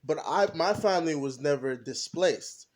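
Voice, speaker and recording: A young man co-hosting a podcast, talking casually and haltingly, often stopping to search for his words. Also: a little high, expressive. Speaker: podcast co-host. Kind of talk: casual discussion.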